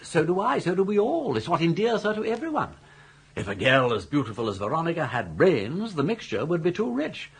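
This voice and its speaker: Older English gentleman, very husky, wildly swooping intonation, garrulous schemer, theatrical radio comedy. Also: on the high side, huge pitch swings, a little fast, exaggerated voice. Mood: persuasive, emphatic. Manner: garrulous, grandly worded, theatrical. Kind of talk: radio comedy drama acting.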